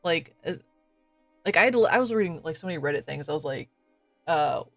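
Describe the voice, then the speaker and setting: Slightly raspy man chatting on a stream. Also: a little high, lively intonation, a little fast, a bit bright, no echo, soft background music. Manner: chatty and self-deprecating, many fillers and laughs. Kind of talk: casual live-stream chat while gaming.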